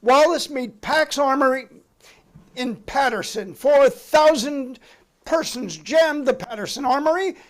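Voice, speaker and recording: Insistent, very high-voiced committee counsel man acting. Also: measured pace. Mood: formal, accusing. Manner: courtly, official, insistent. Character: formal, pressing committee counsel interrogating a witness. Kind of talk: dramatic reading of a hearing transcript.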